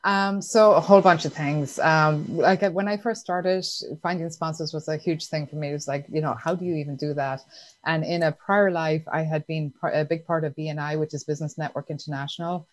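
A woman with an Irish accent, chatting as a guest in an interview, laughing easily and filling pauses with "um" and "like". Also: sits low, fairly even intonation, no room sound. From Ireland. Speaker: guest entrepreneur. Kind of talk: casual interview answers.

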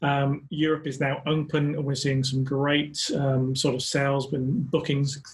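A man from the UK hosting a travel podcast, asking upbeat questions in a measured way with a few hesitations. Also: mid-range voice, flat delivery. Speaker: podcast host. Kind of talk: interviewing a guest.

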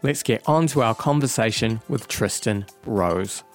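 A man's voice: fairly low pitch, unhurried, soft background music. A man with a New Zealand accent hosting a podcast, friendly and upbeat. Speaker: podcast host. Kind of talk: podcast intro and interview.

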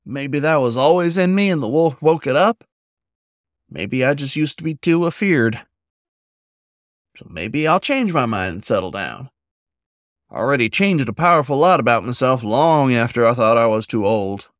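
A man's voice: mid-range voice, lively intonation, moderate pace, no echo, natural voice. A man performs an older werewolf trucker from an audio story. He is frank and reflective, talking in rustic, folksy turns of phrase. The sound is close and dry. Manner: rustic folksy drawl wording.

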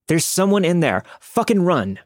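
Man acting out a panicked teenager, pitched up. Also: sing-song, normal speed, no echo, studio quality. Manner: urgent, alarmed exclamations. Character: panicked teenagers fleeing a cemetery at night.

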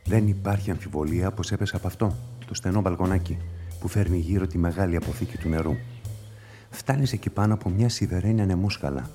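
Actor as a low-voiced, probing police inspector. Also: male voice, warm tone, soft background music, close to an everyday voice. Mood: musing. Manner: musing, questioning, dryly ironic. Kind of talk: radio play acting and monologue.

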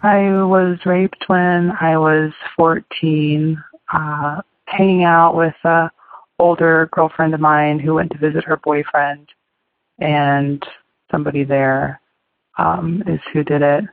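A speaker giving a plain, steady personal testimony. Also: mid-range voice, slow, no room sound. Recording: dry, tinny phone-like recording.

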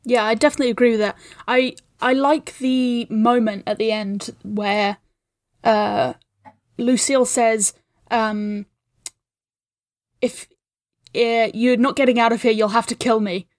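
A woman talks casually about film as a guest host. Her voice is on the high side, recorded dry with little room sound. She is agreeable and thoughtful, describing things in detail. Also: fairly even intonation, measured pace, pauses often, no room sound.

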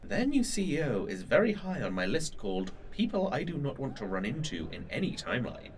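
Fussy male scientist with lively intonation. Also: medium pitch, brisk, a little hiss or hum. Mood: flustered. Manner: hesitant, formal, quaint. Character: fussy, evasive, eccentric male time-travel scientist. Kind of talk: audio drama acting.

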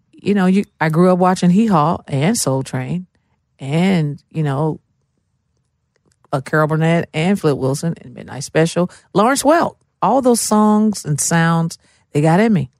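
A woman's voice: fairly low pitch, lively intonation, a little slow, a little mellow, no echo, studio quality. A middle-aged woman from Memphis, telling stories casually and with emphasis as an interview guest. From Memphis, Tennessee, USA.

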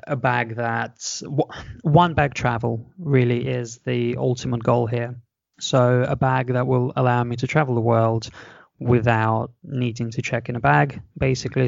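Gravelly-voiced man, chatty podcast guest. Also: medium pitch, no room sound. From Russia. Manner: chatty, enthusiastic, explains at length. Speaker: podcast guest, travel blogger. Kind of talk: casual chat over a video call. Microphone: video call microphone. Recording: dull, lo-fi call audio.

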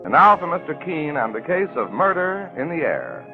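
Music plays behind a male 1940s radio announcer on a dull old recording. His voice is fairly high, with formal, theatrical scripted delivery. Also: sing-song, moderate pace.

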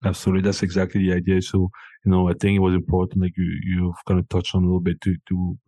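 Man, very deep voice, flat delivery, dry sound, hesitant, many restarts. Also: fast, no echo. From Cameroon. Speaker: founder and CEO, guest. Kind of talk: casual interview talk.

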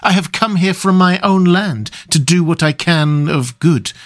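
A man's voice: on the high side, lively intonation, measured pace, fairly bright tone, voice pushed up. A man voicing a grave, stern but compassionate old professor in an audiobook, speaking in solemn, earnest, formal phrases. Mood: pleading, tender. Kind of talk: dramatic audiobook character reading.

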